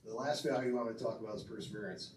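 A middle-aged man with a fairly low voice speaks earnestly from a podium, stumbling now and then as he reads his notes. He is heard from across a reverberant banquet room, with a faint hum of room noise behind him. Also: fairly even intonation, racing, far from the mic. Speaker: speaker inducting his father into a hall of fame. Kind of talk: prepared tribute speech with asides to the audience. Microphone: podium mic heard from a far camera.

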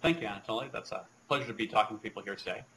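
A low-voiced man in his thirties talks through a keynote over a webcam from a small office, loose and conversational, full of ums and restarts. Faint hiss sits under the video-call audio. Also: rapid-fire, fairly bright tone, low-quality recording. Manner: conversational, many fillers and restarts. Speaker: keynote speaker. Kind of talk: live conference keynote over video link.

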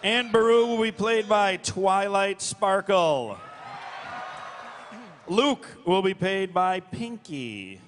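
A man's voice: high-pitched, animated, slow, fairly bright tone. A man working as a panel moderator, announcing live from a stage. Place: large convention hall stage. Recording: echoey convention hall, faint noise.

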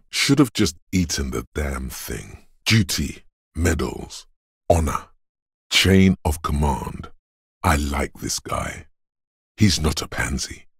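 A man voicing the grim inner voice of a body's endurance in a video game, raspy and gravelly, narrating slowly and ominously in the second person. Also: a bass voice, no room sound, studio quality, heavily acted. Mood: gruff, judging. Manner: slow, weighty, ominous.

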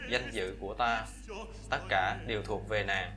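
A man's voice: fairly low pitch, steady tone, moderate pace. A man reads a scripted voice-over translation for a fairy-tale cartoon. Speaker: voice-over translator reading all characters. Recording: voice-over laid over loud film soundtrack. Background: operatic music from the film.

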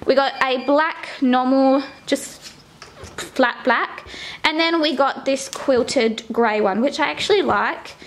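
A young Australian woman with a high voice chats to a camera held at arm's length. She talks casually and directly to her viewers as she moves around her home. A faint hiss of noise sits behind her. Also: slow, poor audio. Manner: chatty, talking straight to the camera. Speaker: vlogger. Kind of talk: casual vlog chat. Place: home and car, indoors. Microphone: handheld camera at arm's length.

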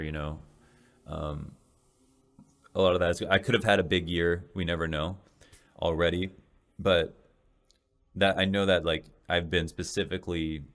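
A young man in his twenties with a deep, slightly raspy voice, hosting a casual solo podcast and rambling with many restarts. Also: fairly bright tone. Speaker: podcast host and esports caster. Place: small home room, indoors. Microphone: large studio mic in front of face.

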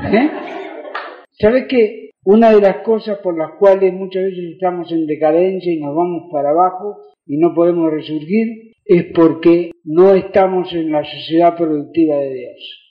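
An older man with a Rioplatense Spanish accent, preaching an emphatic sermon into a handheld mic, firing rhetorical questions and asking the congregation for amens. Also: high voice. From Argentina. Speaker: pastor. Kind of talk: fiery sermon with audience call-and-response. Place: church hall with congregation. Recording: roomy, dull church recording.

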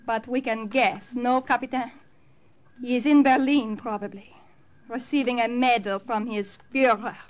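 A woman's voice: on the high side, fairly even intonation, unhurried, close to an everyday voice. A woman acting a devoted young French Resistance member in an old radio drama, earnest and formal, with a French accent. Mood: wry. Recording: noisy old radio recording.

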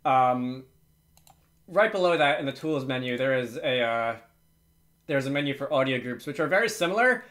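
A man's voice: mid-range voice, animated, normal speed, a bit bright. A man presenting a casual, unscripted screencast tutorial, hesitantly thinking aloud as he works. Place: indoors at a computer.

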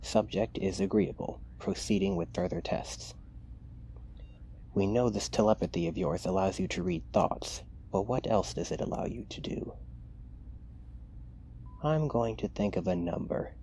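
Man acting a deep, gravelly mad scientist. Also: animated, measured pace, pauses often, a little hiss or hum, full character voice, low-quality recording. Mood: clinical, detached. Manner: clinical dictation mixed with hushed, taunting asides. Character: cold, menacing, possessive male mad scientist. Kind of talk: ASMR roleplay acting.

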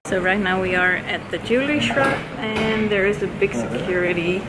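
A woman, chatting excitedly through a casual vlog while walking around a villa and a photo studio. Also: medium pitch, normal speed, heavy background noise. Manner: bubbly, excitable, laughs often. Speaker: model and vlogger. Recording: music playing loudly behind her.

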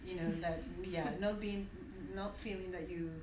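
Lowish-voiced woman from venezuela, french first language, talking to a class, lots of restarts, echoey and far off. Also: steady tone, normal speed, a little mellow, slight background noise. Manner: reflective, with many restarts and hesitations. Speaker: conference speaker. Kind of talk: personal talk to an audience. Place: university classroom, indoors. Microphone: standing mic, recorded by a phone from the audience.

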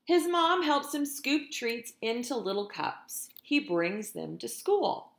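Woman, storytime teacher for small kids. Unhurried, expressive, talks straight to the children, in an echoey room. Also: fairly high pitch, gravelly. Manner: expressive, deliberate, addresses children directly. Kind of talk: picture-book read-aloud for young children. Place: indoors in a room at home.

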